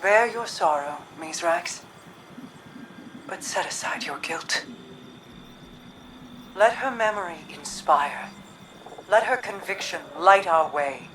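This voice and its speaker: A woman voicing a composed, regal queen in a game cutscene, grave and consoling, speaking softly in measured, formal phrases. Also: fairly low pitch, lively intonation, a little hiss or hum, low-quality recording. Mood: solemn, consoling. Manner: measured, formal, soft-spoken. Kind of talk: dramatic video game cutscene acting.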